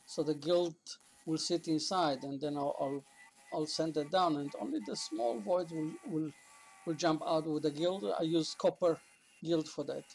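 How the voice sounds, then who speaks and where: An older man casually explaining a woodturning project in his workshop, plain-spoken and halting. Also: on the high side, gravelly, darkish tone, faint music behind. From South Africa. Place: small indoor cluttered woodworking shop.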